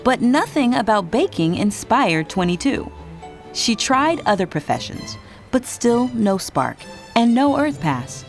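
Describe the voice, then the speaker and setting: A woman reading scripted narration for a children's read-along storybook in an expressive, dramatic way. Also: on the high side, measured pace. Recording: music behind the narration.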